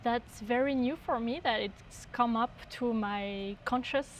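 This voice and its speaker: A woman in her thirties from France, a guest sound artist talking unhurriedly and often pausing to search for words. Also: a little high, a little hiss or hum. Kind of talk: casual conversation.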